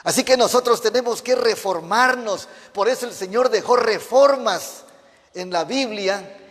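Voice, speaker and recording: A pastor with a notably high voice for a man, preaching with fervour and firing questions at his flock. His words ring out with the long reverb of a church hall. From Mexico. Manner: fervent preaching with rhetorical questions to the congregation. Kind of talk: sermon.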